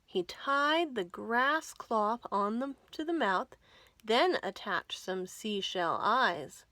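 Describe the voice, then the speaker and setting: A woman reads a children's story in a bright, higher-pitched voice. She takes her time and leans on words for drama. The sound is dry and close. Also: no echo. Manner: expressive, deliberate storytelling with dramatic emphasis. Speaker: children's librarian reading storytime. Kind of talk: animated picture-book read-aloud for young children.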